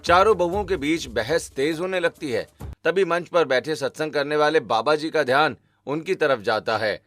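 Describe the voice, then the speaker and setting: A man's voice: a little high, normal speed, a bit bright, soft background music. A man narrating a scripted family moral story as a storyteller.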